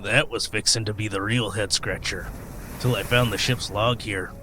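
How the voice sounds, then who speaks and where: Man as weathered old salt, lowish pitch, gruff, folksy, hesitant storyteller, radio play. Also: fairly even intonation, moderate pace, bright, forceful tone, a little hiss or hum. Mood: grave, ominous. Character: weathered old sailor, gruff, modest teller of sea tales.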